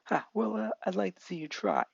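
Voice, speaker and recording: A man's voice: high-pitched, fairly quick, gravelly, breathy edge, no room sound, lo-fi sound, pitched above the performer's own voice, exaggerated voice. A man voicing an arrogant, hot-tempered young bully who sneers and taunts. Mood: sneering.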